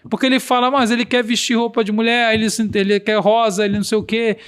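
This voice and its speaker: A man's voice: sits high, level tone, fast talker, a bit bright, clear, resonant tone. A man with a regional accent, a podcast guest explaining earnestly and acting out quoted dialogue. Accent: Brazilian Portuguese regional accent.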